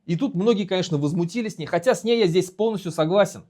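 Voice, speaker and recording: A man's voice: high-pitched, dark tone. Indignant man in a solo commentary. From Bashkortostan. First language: Bashkir. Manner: earnest, indignant, halting with fillers. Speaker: video host and activist.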